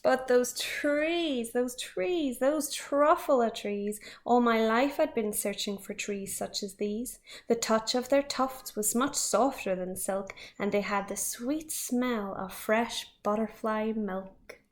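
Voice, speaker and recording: A woman's voice: on the high side, slow pace, hardly any pauses. A woman, a teacher reading a picture book aloud expressively with small asides.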